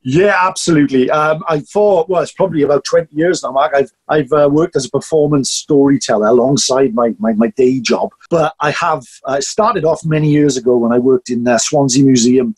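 A man's voice: mid-range voice, a little fast, few pauses, a little mellow, husky, no room sound. A middle-aged Welsh man chatting conversationally as an interview guest, often ending on tag questions. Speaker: podcast guest, performance storyteller. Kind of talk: casual interview chat.

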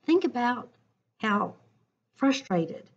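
A middle-aged woman reading a story aloud to children in a deliberate, expressive way, recorded on a computer at home. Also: fairly high pitch, vocal fry, slightly rough, lo-fi sound. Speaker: school educator reading to children. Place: living room at home. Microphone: computer webcam at arm's length.

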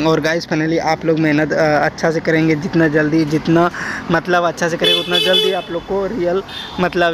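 Young male motovlogger, rambling commentary while riding, monotone, wind and road noise. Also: a little high. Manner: rambling, chatty, addresses viewers as guys. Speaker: moto vlogger. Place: outdoors on a motorcycle on rural roads. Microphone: helmet-mounted action camera.

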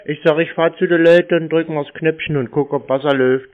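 A man chatting casually on a call, teasing in a deadpan way. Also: on the high side, a little fast. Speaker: prank call recipient, scam baiter. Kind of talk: casual phone conversation. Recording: slightly muffled call recording.

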